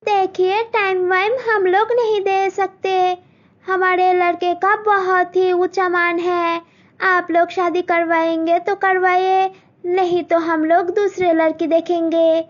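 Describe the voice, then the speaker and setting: A woman's voice: extremely high pitch, level tone, slow pace, lo-fi sound, pitched above the performer's own voice. Woman voicing haughty, bossy mother-in-law. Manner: bossy, commanding. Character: haughty demanding middle-aged mother of the groom.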